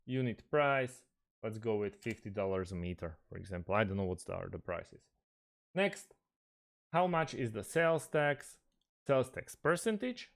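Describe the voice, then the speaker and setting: A man presenting a step-by-step screen tutorial, speaking haltingly with pauses mid-phrase. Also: mid-range voice, clear, resonant tone.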